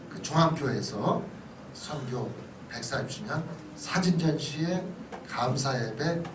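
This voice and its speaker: A male pastor giving a conversational history lecture, chatty and often checking in with listeners through questions and asides. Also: fairly high pitch. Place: church hall lecture. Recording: echoey hall, distant mic, noisy background.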